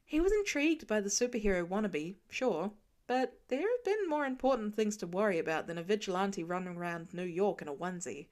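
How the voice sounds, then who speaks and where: A woman narrating a fan fiction story aloud with expressive storytelling. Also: mid-range voice, fairly quick. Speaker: podfic reader.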